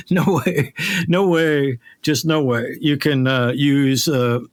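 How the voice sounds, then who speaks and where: A middle-aged man hosting a podcast conversationally, halting and emphatic, often repeating phrases to drive them home. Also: mid-range voice, steady tone, no echo. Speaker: podcast host.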